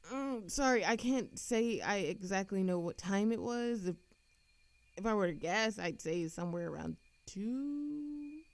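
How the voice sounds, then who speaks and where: Woman voicing an ageless immortal flirt, audio roleplay, teasing, theatrical, swooping pitch, unhurried, coy. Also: vocal fry, no echo. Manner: theatrical, coy, teasing, with frequent giggles and pauses. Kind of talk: scripted audio roleplay addressed to an unheard listener.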